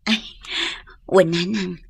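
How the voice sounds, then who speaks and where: A woman playing a gentle young sweetheart and dutiful daughter in a radio drama, breathy in tone. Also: fairly low pitch, steady tone, slow pace, no echo, full character voice. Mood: bashful, affectionate.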